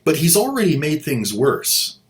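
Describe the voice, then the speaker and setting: A man's voice: a little high, wildly swooping pitch, unhurried. A man hosting a comedic commentary with a sarcastic, mocking manner. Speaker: host.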